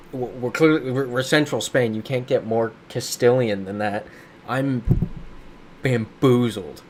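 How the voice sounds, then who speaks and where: Streamer, male, lowish voice, chatty and riffing on chat; faint air-conditioner hum behind. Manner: chatty, reads viewer comments aloud and riffs on them. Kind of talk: casual live-stream chat while playing a geography game. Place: his home in a big city.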